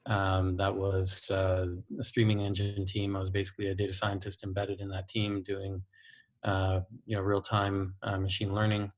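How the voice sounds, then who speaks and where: A man from Canada in his fifties introducing himself in a guest lecture, halting and full of fillers. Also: sits low, completely flat delivery, rough recording. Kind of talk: recorded online lecture.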